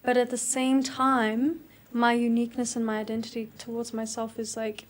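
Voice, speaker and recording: A woman's voice: on the high side, completely flat delivery, moderate pace. A young adult woman from South Africa, a guest in a casual interview, talking reflectively and chattily and laughing easily.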